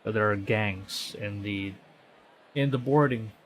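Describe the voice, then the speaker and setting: A man's voice: fairly low pitch, slow pace, slight background noise. A man reading a visual novel aloud on stream, conversational, often stumbling and correcting himself. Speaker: VTuber streamer.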